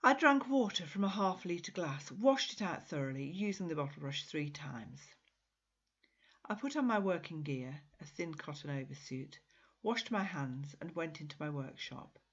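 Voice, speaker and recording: A woman with a low, husky voice narrates literary fiction with measured, dramatic expression. She reads as the author of the piece. The recording is slightly muffled. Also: animated. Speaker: author reading own short story.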